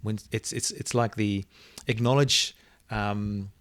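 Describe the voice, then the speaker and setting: A middle-aged man whose first language is Afrikaans, reflectively telling a personal story as an interview guest and often pausing to search for words. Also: on the low side, fairly even intonation, a bit bright, no echo. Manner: thoughtful, searching for words, many restarts.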